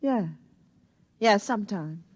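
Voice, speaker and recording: A woman's voice: medium pitch, animated, takes it slowly, no echo, low-quality recording. An American actress playing a wary, streetwise young bar girl in an old-time radio drama. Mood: nervous, pleading.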